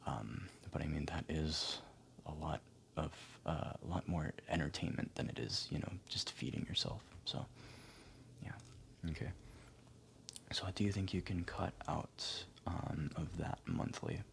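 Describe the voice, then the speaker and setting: A man speaking softly, often near a whisper, in a gentle, hesitant, encouraging ASMR roleplay as an advisor talking to a client. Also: extremely low pitch, a little slow, vocal fry, a little hiss or hum. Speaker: ASMR performer playing a financial advisor. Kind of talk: ASMR roleplay, soft-spoken one-sided client consultation.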